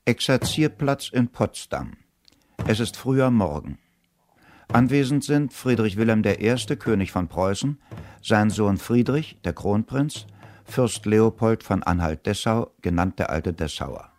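A man's voice: fairly low pitch, animated, unhurried, darkish tone. A man narrating a radio play, reading out scene settings and characters as scripted announcement.

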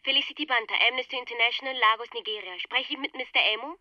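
An actress playing a polite, formal aid official on the telephone in a radio play, persuasive and businesslike. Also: female voice, a little high, racing, bright, forceful tone, dry recording. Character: smooth-talking, formal female aid official running a scam. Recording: dry, dull telephone sound.

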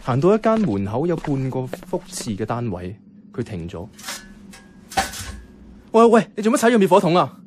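A man's voice: medium pitch, huge pitch swings, moderate pace, long pauses, a little mellow, slight background noise. An actor playing a bemused, reluctant young man who narrates and talks in a radio drama, wry and questioning, with a Hong Kong Cantonese accent. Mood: puzzled. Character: bemused, reluctant young male companion narrating a night walk.

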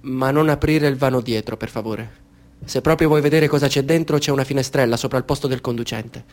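An actor playing a polite, soft-spoken stranger in a radio drama who talks in a calm, measured way with a hidden menace. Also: male voice, medium pitch, close to an everyday voice.

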